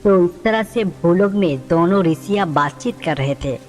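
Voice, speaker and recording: A woman's voice: fairly low pitch, lively intonation, normal speed, darkish tone. A woman reading scripted narration for a devotional story. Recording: long reverb, music underneath.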